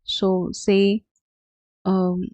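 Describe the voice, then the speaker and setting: A woman giving an unscripted software tutorial as a trainer, explaining step by step in a halting, hesitant way. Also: medium pitch, monotone, no echo. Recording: dull, lo-fi, dry close sound.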